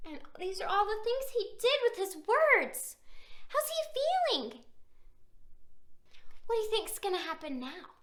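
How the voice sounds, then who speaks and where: A woman's voice: extremely high pitch, sharp tone. A woman reading a picture book aloud to young children, with exaggerated wonder, sing-song stretched words and questions put to her listeners. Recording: roomy echo.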